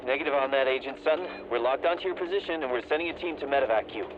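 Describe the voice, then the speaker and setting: An actor playing a firm, gritty military dispatcher in a game audio log, talking bluntly over the radio. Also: male voice, mid-range voice, racing. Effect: radio transmission. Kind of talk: dramatic acting in a video game audio log. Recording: muffled, noisy radio-filtered sound.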